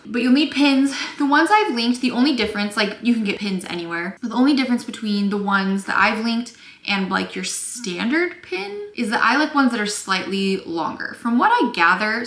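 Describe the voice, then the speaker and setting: A young woman teaching sewing to camera in a chatty, casual way, a little scratchy-voiced and quick to apologize. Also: medium pitch, fairly bright tone. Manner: chatty, apologetic, lots of fillers. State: slightly scratchy voice. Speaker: sewing instructor. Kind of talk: casual tutorial talk to camera. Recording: echoey room.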